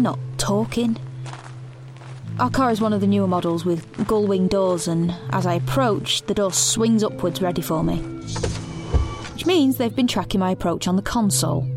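A woman's voice: mid-range voice, natural voice. An actress playing an anxious, grieving teenage girl who narrates her own story in an intimate audio drama. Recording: studio recording over soft music.

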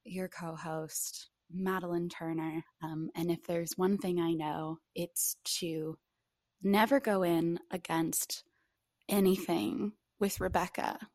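A woman's voice: on the low side, steady tone, takes it slowly, a little mellow, dry recording, clean studio sound. A woman co-hosting a casual podcast, chatting in a playful, mock-dramatic way. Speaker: podcast co-host.

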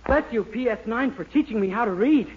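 A man's voice: extremely high pitch, animated, fairly quick. An actor playing a cheerful, naive young salesman with brash, boyish patter in 1940s radio comedy style. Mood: eager pitch. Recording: noisy, dull old 1940s radio recording.

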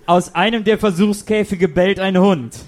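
A man's voice: sits high, steady tone, normal speed, lightly acted. A man narrating a comic radio play in a scripted, mock-dramatic storytelling manner.

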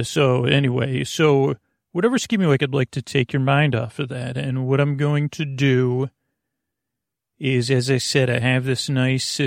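A man's voice: medium pitch, gravelly, no echo, studio quality. A man hosting a sleep podcast, talking his way through a rambling, tangent-filled intro with lots of fillers and restarts, and playing with words along the way. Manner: halting, tangent-prone, many fillers and restarts; playful, muses on words.

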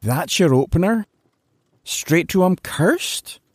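A man's voice: animated, slow pace, no room sound, clean studio sound, full character voice. A man voicing a frazzled, sarcastic young man who has just learned he is a werewolf. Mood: exasperated. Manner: exasperated, sarcastic, high and strained.

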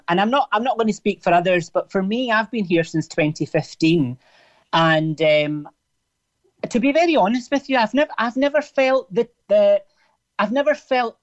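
A man with a Glaswegian Scottish accent, a radio guest on the phone, talking in a halting way and often repeating and restarting his words. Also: high voice, dull audio. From Scotland, Glasgow. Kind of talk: casual radio chat.

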